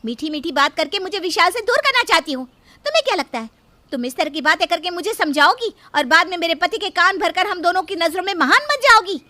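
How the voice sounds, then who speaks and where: A woman voicing a resentful, insolent young daughter-in-law in a story, scornful, sharp and accusing. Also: very high voice, expressive, a little fast, long pauses, pitched above the performer's own voice, heavily acted. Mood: furious, accusing.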